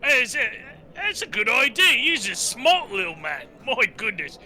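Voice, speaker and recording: Man putting on a brash, bright, high-voiced brute. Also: sing-song, normal speed, full character voice. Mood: cheerfully bossy. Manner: broken rustic grammar, loud. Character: brash, dim, bossy brute adventurer. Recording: music behind the voice.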